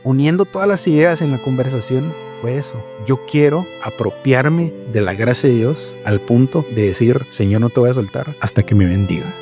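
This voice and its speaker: A man from Guatemala, a missionary guest explaining his work in a reflective, conversational way, often checking in with "¿verdad?". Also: mid-range voice. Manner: reflective, explains slowly with many fillers and "¿verdad?". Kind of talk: conversational interview.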